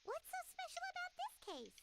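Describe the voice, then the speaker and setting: Childlike fairy sidekick in a game, squeaky, excitable, blunt; loud noise behind. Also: female voice, fairly quick, creaky, full character voice. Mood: curious, insistent. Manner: chirpy, blunt, inquisitive. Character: tiny, childlike, nosy, excitable fairy companion, female. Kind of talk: scripted video game dialogue acting.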